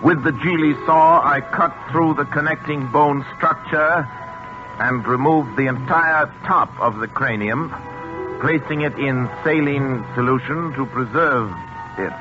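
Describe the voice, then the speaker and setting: Muffled 1940s radio actor as grave, guilt-ridden surgeon. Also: male voice, fairly high pitch, measured pace, close to an everyday voice. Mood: grimly clinical. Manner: grave, literary, dramatic delivery. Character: guilt-ridden surgeon father confessing a compelled crime. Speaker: actor in a radio horror play. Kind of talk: 1940s radio drama acting, first-person narration. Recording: muffled old 1940s radio recording with music.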